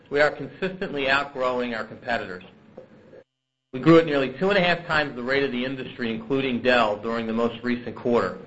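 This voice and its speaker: Male corporate executive for an analyst call presentation, mid-pitched, measured and businesslike, on slightly muffled audio. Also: a bit bright, a little hiss or hum.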